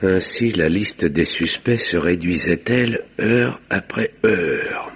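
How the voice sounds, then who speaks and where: Sombre doctor-narrator, low gravelly voice, measured literary delivery, vintage radio drama, dull lo-fi sound. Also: male voice, fairly bright tone, full character voice. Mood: grave, suspenseful. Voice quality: gravelly, husky. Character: sombre male village doctor narrating a murder mystery.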